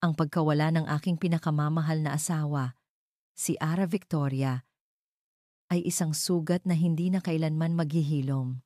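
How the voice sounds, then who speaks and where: A woman voicing a scheming male CEO who gives a solemn, measured speech as a grieving widower in a dramatic story. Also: sits low, fairly even intonation, dark tone, no echo, clean studio sound, close to an everyday voice. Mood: feigned grief.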